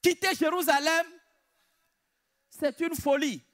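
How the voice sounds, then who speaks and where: A man preaching a sermon in an emphatic, deliberate way, repeating his key phrases. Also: very high-pitched, fairly even intonation, fairly bright tone.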